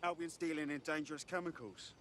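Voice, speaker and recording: A man's voice: a little high, brisk, fairly bright tone, a little hiss or hum, close to an everyday voice. A man playing a wary, streetwise, blunt character who talks in slang in a video game. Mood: wary, aggrieved. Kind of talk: video game cutscene acting.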